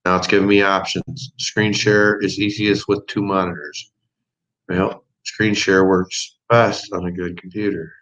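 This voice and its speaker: A man's voice: low-pitched, flat delivery, measured pace, a bit bright. A man co-hosting a livestream test, chatting casually and easygoing. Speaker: livestream co-host. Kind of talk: casual chat. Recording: lo-fi livestream audio.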